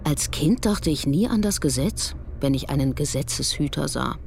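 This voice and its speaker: A woman's voice: deep voice, lively intonation, moderate pace, creaky, background noise. A woman playing a reflective, questioning speaker in a scripted philosophical radio-play dialogue. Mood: pensive.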